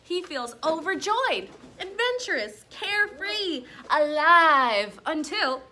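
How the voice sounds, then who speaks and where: A woman teacher reading a picture book aloud to a class in an expressive, instructional way, pausing to explain and ask questions. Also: extremely high pitch. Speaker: elementary school teacher.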